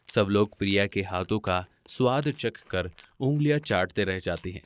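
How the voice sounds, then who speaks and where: A man's voice: on the low side, lively intonation, normal speed, a little hiss or hum. A man narrating a scripted family story in a theatrical, rhetorical style.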